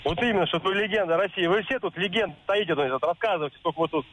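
Stern veteran football coach played by a man, higher-pitched, rapid, combative and patronising, for a radio comedy sketch. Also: a bit bright, rough recording. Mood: indignant scolding. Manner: combative, lecturing, talking down. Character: stern, condescending veteran male national team head coach. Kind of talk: improvised comic radio sketch.

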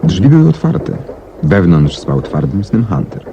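An actor playing a methodical, self-assured English detective in a 1970s Polish radio play, telling things in a measured, deliberate way with emphatic swings. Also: male voice, low-pitched, big pitch swings, vocal fry, muffled recording, heavily acted. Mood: animated, explanatory. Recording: noisy old 1970s radio recording.

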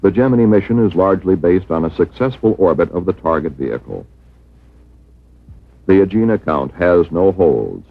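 A low, husky American male narrator delivers scripted documentary copy with formal, measured phrasing. The sound is muffled and narrow, like a worn 1960s film soundtrack. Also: lively intonation, no echo.

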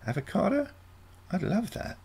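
Storybook granddaughter from the future, read by a man: young, breezy, naive, with a lightly creaky edge. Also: sits high, very animated, moderate pace, a little mellow, voice pushed up, heavily acted. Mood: delighted.

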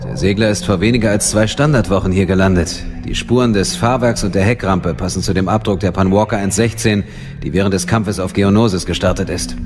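A man's voice: deep voice, fairly quick, natural voice. A man playing a businesslike military investigator in an audio drama, reporting methodically and matter-of-factly. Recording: loud music behind the voice.

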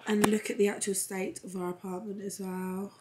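Young British woman vlogger, voice gone hoarse, chatty and self-mocking, camera held at arm's length. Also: medium pitch, level tone, moderate pace, background noise. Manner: chatty, rambling, self-deprecating. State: hoarse, lost voice. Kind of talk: casual vlog chat to camera. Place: ski resort apartment. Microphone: handheld camera at arm's length.

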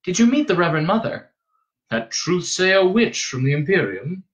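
A man voicing a shrewd, gruff old adviser with a probing, wry and confiding manner. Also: high-pitched, very animated, normal speed, voice pushed up.

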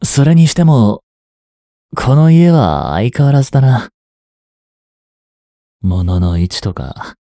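A man in his twenties playing a reluctant, guilt-ridden young hitman in an audio drama, softly spoken and halting. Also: lowish voice, no room sound, studio quality, exaggerated voice. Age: 20s. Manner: halting, subdued delivery. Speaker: voice actor. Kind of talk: Japanese audio drama monologue and dialogue.

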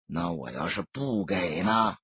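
Man doing a gruff, husky, defiant street tough. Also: low voice, expressive, a little slow, a bit bright, no room sound, highs cut off, pitched below the performer's own voice, exaggerated voice. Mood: defiant, irritated. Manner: blunt, grumbling. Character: defiant, hot-tempered male small-business street tough.

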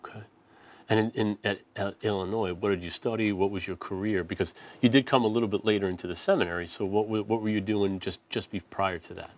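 A middle-aged man hosts a religious TV interview show from a studio set. His voice is somewhat low, moving between a scripted introduction and easy questions with small restarts. A lapel mic keeps him close and clear. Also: fairly even intonation, quick pace. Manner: scripted intro read, then warm conversational questions with restarts. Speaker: priest host. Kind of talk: TV interview hosting.

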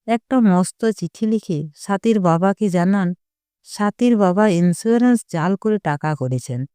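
A woman reading a literary short story as a scripted narration, in a measured, deliberate storytelling manner. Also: mid-range voice, animated, mellow, clear, resonant tone, dry recording, studio quality.

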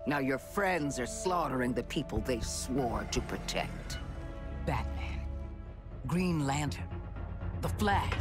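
An actor playing a stern government agency boss in a video game, speaking with cold authority. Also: male voice, medium pitch. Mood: cold, accusing. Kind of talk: video game cutscene acting. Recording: loud music behind the voice.

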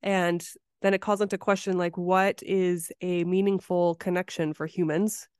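A woman's voice: lowish voice, little pitch movement, normal speed, a little mellow, clean tone, no echo, studio quality. A woman hosting a podcast, discussing casually and thoughtfully. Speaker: podcast host.

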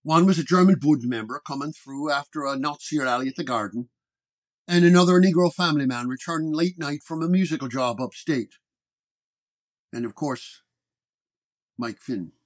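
A man voicing a gruff, hostile old-school New York police sergeant in an audio detective story, curt and sneering. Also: a little high, moderate pace, long pauses, lightly acted.

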